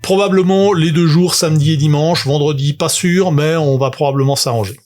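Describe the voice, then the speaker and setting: A man's voice: fairly high pitch, brisk, darkish tone. A man, rambling through a casual livestream full of fillers and self-mocking asides. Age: 40s. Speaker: tabletop game author and streamer.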